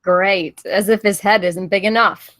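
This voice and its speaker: A woman's voice: mid-range voice, fairly even intonation, measured pace, fairly bright tone, dry recording, close to an everyday voice. A woman playing a curious, wry wife who is new to the scene, in a table read.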